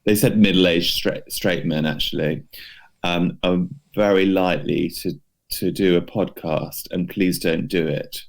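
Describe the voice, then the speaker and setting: Deep, creaky-voiced man chatting. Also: steady tone, measured pace. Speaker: podcast co-host. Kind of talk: casual chat.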